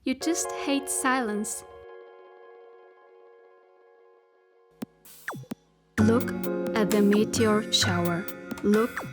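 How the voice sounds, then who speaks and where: A woman's voice: medium pitch, slow, music in the background, close to an everyday voice. A woman voicing a talkative, possessive AI spacesuit companion in a science-fiction audio drama, musing and repeating herself as she speaks intimately to her listener. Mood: wistful, lonely. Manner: musing, repetitive, intimate direct address.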